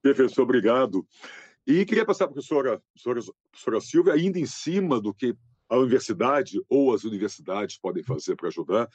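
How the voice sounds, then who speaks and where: A man's voice: mid-range voice, expressive, quick pace, a little mellow, breathy edge, no room sound, muffled recording. A man with a Brazilian accent moderating a panel, politely putting a question to a professor. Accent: Brazilian Portuguese.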